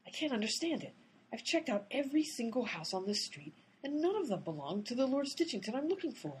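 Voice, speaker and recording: A woman's voice: on the high side, sing-song, a little fast, slight background noise, rough recording. A woman playing an exasperated, blunt, tough-talking detective in a comedic radio play. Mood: puzzled.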